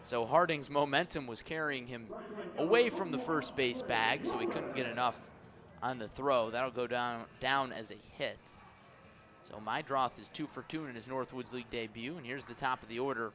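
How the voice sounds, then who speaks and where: A man calling baseball play-by-play for radio in a live sports commentary style. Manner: measured between pitches, raised and urgent on plays. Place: stadium press area on second deck.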